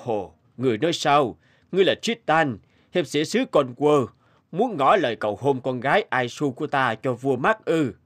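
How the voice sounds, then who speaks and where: A man's voice: mid-range voice, animated, moderate pace, no echo, faint music behind, natural voice. Man playing an imperious older cartoon king. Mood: surprised, imperious. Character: imposing older king, a protective royal father. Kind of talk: dubbed cartoon fairy tale acting.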